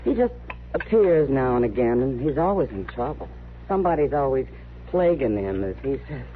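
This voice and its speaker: A woman's voice: very deep voice, very animated, moderate pace. An actress playing a kind, sympathetic, plain-spoken frontier woman in an old radio western. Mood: concerned. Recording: muffled, noisy old radio recording.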